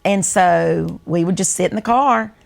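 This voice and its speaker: A woman's voice: fairly low pitch, a little slow, gravelly. A woman in her sixties with a North Carolina Southern accent, telling stories and reminiscing in casual conversation. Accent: North Carolina Southern American. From North Carolina, United States. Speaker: co-host.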